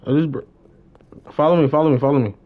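A young man giving casual live gaming commentary, slangy, repeating short phrases excitedly. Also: a little high, quick pace, phone-quality sound. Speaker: game streamer.

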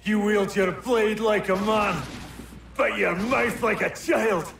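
An actor playing a dying older British officer in a video game, proud and anguished, pleading in halting, formal phrases with a strained voice. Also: male voice, high voice, fairly even intonation, gravelly, fairly bright tone, reverberant, full character voice. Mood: bitter, fading. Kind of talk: dramatic video game cutscene acting.

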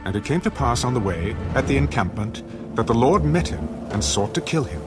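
Male scripture narrator, mid-pitched, lively intonation, dramatic scripted read over a clear score. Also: fairly quick. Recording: music behind the narration.

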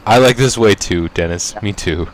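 A man's voice: on the low side, moderate pace, slight background noise. A man co-hosting a video game session, bantering casually and laughing often. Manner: laughs often, joking and self-aware. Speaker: gameplay co-host. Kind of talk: casual let's-play banter.

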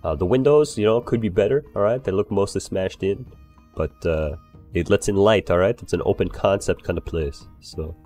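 A man's voice: low-pitched, normal speed, mellow, soft background music, close to an everyday voice. A man improvising an eager, nervously fawning barkeeper in a game role-play, rambling and swearing as he talks. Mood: eager, chatty. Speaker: role-player playing a bar owner.

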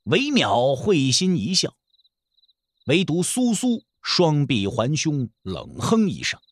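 A man's voice: on the high side, wildly swooping pitch, slow pace, slightly rough, no room sound. A man narrating a martial-arts novel as a scripted audio drama, telling the story in the third person.

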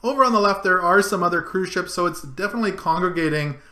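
A man's voice: high-pitched, quick pace, sharp tone, reverberant. A middle-aged man casually talking through a screen-share tutorial, guiding each click and zoom step by step. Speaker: travel vlogger. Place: home room, indoors.

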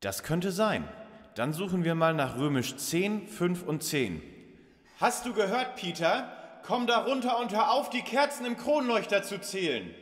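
A man's voice: high-pitched, sing-song, unhurried. A man plays an articulate, wry young detective leader in a parody audio drama. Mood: focused. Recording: long reverb, music behind.

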